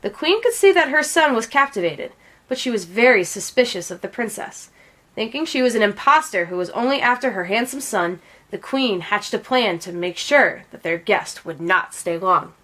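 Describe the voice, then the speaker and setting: A woman reading a children's picture book aloud as an expressive storyteller. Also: a little high, moderate pace. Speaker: read-aloud storyteller, teacher.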